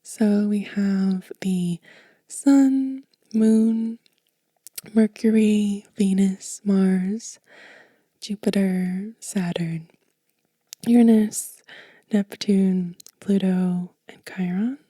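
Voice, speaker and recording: A woman speaks in a very mellow, breathy whisper, as an ASMR host would. Her delivery is gentle and slow, explaining things in a hushed, unhurried way. The sound is close to the microphone. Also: mid-range voice, fairly even intonation, dry recording. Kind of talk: soft-spoken whispered ASMR, reading a guide aloud.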